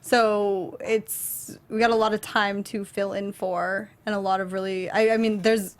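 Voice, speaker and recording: Woman co-hosting, tentative and halting. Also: medium pitch, level tone. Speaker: talk show co-host. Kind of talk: casual chat discussing a video game.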